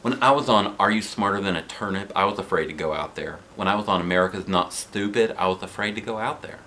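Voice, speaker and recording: A man's voice: deep voice, quick pace, sharp tone, a little hiss or hum, voice pushed down. Man putting on a folksy, pushy showbiz woman. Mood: folksy, confiding. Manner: folksy, encouraging, showy. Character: Mrs. Lane, folksy pushy showbiz woman talent coach. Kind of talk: children's storybook read aloud.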